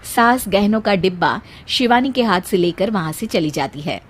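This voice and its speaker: A woman's voice: mid-range voice, lively intonation, moderate pace, slightly rough. A woman delivering scripted storytelling narration for cartoon family moral stories.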